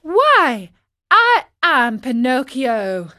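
A woman's voice: high voice, big pitch swings, takes it slowly, a bit bright, pitched above the performer's own voice, full character voice. A woman voicing a naive, impulsive wooden marionette boy in a storybook, with an exclamatory, pleading delivery. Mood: tearful, startled. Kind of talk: storybook character voice.

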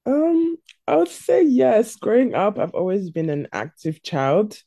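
Guest in her twenties from South Africa, warm and thoughtful, close and dry. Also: mid-range voice, big pitch swings, measured pace, dry recording. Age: 20s. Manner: thoughtful, searching for words. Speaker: stylist and guest. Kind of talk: interview answers.

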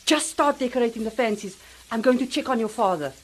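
A woman's voice: on the high side, fast, background noise, natural voice. A woman playing a harried, strict mother in a radio drama, exasperated and fussing, with a Cape Town South African accent. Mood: stern, exasperated. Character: harried, strict, fretful middle-aged mother. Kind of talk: amateur radio drama acting.

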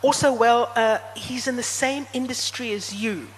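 A woman's voice: a little high, voice pushed up, close to an everyday voice. A woman voicing a jittery, shady businessman in a storybook reading, with a wobbly voice and a bad fake Cockney accent. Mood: nervous, urgent. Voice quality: quavering, wobbly. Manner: nervous, halting, blurting. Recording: echoey hall, background noise.

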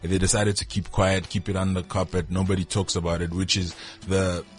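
A very deep-voiced young man from South Africa, a guest in a radio studio talking about a film he acted in. He speaks in a thoughtful way, circling back and repeating words while he reaches for the next thought. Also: flat delivery, a little fast, soft background music. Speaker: actor guest. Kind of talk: radio interview.

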